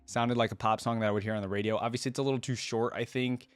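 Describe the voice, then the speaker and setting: A man's voice: medium pitch, steady tone, racing, clear, resonant tone, no room sound. A man giving casual reaction commentary, talking informally and hedging as he thinks aloud. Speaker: video creator reacting to music.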